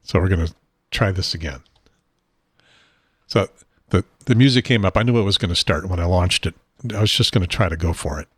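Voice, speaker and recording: A man hosting his own talk show from a home studio desk, rambling and self-deprecating, with a husky, unsteady voice and frequent throat clearing. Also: deep voice, brisk, pauses often, fairly bright tone. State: has a probe in his nose. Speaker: podcast host.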